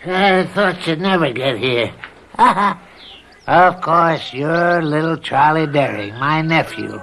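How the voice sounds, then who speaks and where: Radio drama: effusive elderly country uncle, high and slow, chuckly, exclaiming delivery. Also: male voice, expressive, a bit bright, a little hiss or hum, heavily acted.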